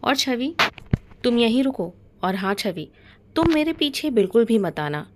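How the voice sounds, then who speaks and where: A bold young man from a storybook romance, voiced by a woman narrator. He is protective and commanding. He speaks in stern orders and threats and is quick to anger. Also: on the high side, sing-song, background noise. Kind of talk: dramatic storybook narration in Hindi.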